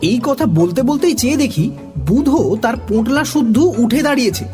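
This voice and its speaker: An expressive, animated narrator telling a children's story in dramatic style. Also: mid-range voice, normal speed, faint music behind.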